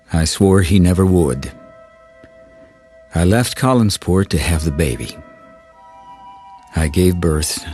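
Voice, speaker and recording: A very deep, slow-reading gentle man. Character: gentle man reading a dead woman's confession aloud. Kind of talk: audio drama acting, reading a letter. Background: sentimental theme music.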